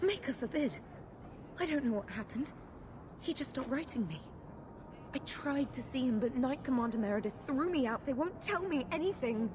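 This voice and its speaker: A woman's voice: high-pitched, lively intonation, normal speed, noisy background. A woman playing a worried, devout sister in a video game, earnest, anxious and pleading in manner. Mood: distressed. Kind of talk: dramatic video game acting. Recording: dramatic game music behind the voice.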